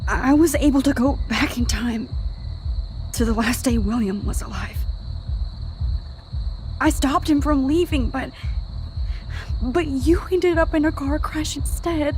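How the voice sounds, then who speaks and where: A woman's voice: high voice, a little mellow, slight background noise. A young American woman playing an anxious, earnest teenage girl in a dramatic video game, speaking in a hesitant, pleading way. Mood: guilt-ridden, grieving. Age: young adult. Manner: hesitant, halting, emotionally pleading.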